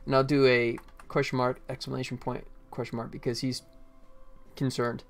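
An American man in his forties chatting casually and haltingly as he livestreams game development, thinking aloud. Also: mid-range voice, flat delivery, soft background music. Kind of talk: casual chat while working.

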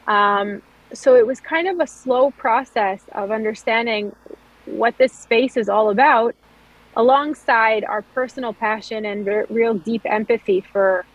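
A woman's voice: a little high, muffled recording. A woman in her thirties from Israel, a startup founder telling her story in an interview over a video call, fluent with frequent ums and restarts. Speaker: startup founder and CEO, guest.

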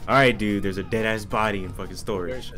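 A man's voice: on the low side, fairly even intonation, fast. A young man chatting casually and slangily with swearing while playing a game with friends, music behind him. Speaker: gamer playing a social deduction game with friends. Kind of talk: casual gaming chat. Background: loud music.

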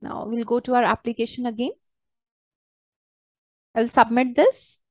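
A woman walking through a software tutorial step by step as a trainer, in a hesitant, halting way. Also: on the high side, fairly even intonation, dry recording. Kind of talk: screen-share software tutorial, unscripted walkthrough.

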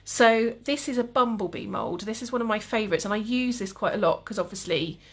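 Calm tutor, young woman, breathy, easygoing live demo talk. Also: mid-range voice, a little fast. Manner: calm, relaxed, chatty with viewers. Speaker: cake decorating tutor. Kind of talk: live demonstration with chat.

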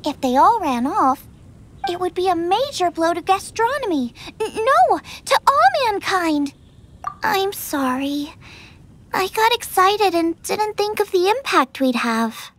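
A woman playing a cheerful, excitable teenage girl chef in a video game, eager and expressive. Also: very high voice, measured pace, full character voice. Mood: earnest. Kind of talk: video game character acting.

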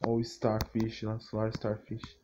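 A man's voice: on the low side, monotone, measured pace. A man with a Brazilian accent giving casual, off-the-cuff gaming commentary in a slangy, rambling, repetitive way. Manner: slangy, rambling, repeats himself. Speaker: gaming YouTuber. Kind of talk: casual off-the-cuff commentary on fan game images and videos. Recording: muffled, noisy phone recording with clicks.

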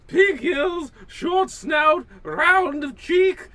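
Indignant housewife, very high pitch, sharp forceful tone, accusing, old-time radio comedy. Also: male voice, drawn-out words, full character voice. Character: indignant, accusing housewife robbed of her pies.

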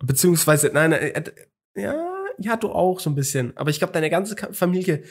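A man's voice: fairly high pitch, a little fast. Young male co-host, hungover, rambling story-teller full of ums and restarts. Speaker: podcast co-host. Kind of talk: casual chat.

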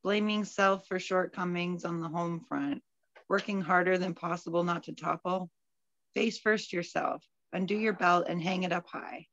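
A woman's voice: on the low side, moderate pace, fairly bright tone, no echo. A woman, a poet at an open mic, speaking over a video call from home. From Alberta, Canada. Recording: dull, lo-fi video call audio.

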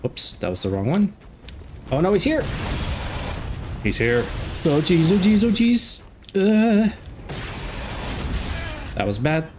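A young man with a stuffed-up nose giving casual, jokey commentary while playing a game, breaking into excited outbursts. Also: sits high, takes it slowly, long pauses, background noise. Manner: chatty, jokey, excitable outbursts during fights. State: stuffed up from a cold. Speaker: gameplay commentator. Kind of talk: casual let's play commentary. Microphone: desk microphone.

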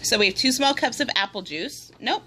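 A woman's voice: fairly high pitch, animated, fairly quick, bright, forceful tone, slight background noise. A woman filming a casual cooking video at home, patiently encouraging and instructing her small child step by step. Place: indoor home room at a table.

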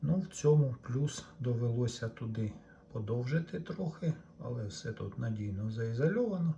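Male hobbyist repair presenter, medium pitch, informal step-by-step delivery, slightly noisy home-video sound. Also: expressive, normal speed, gravelly, warm tone, muffled recording. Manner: unscripted, step-by-step explaining. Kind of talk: casual hands-on repair demonstration, talking to viewers.

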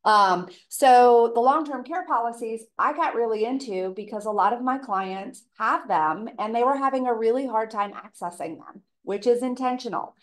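A woman presenting an informal seminar in a casual, chatty way, her voice raspy from a cold. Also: on the high side, normal speed, hardly any pauses. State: tail end of a cold. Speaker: presenter, elder care coordinator.

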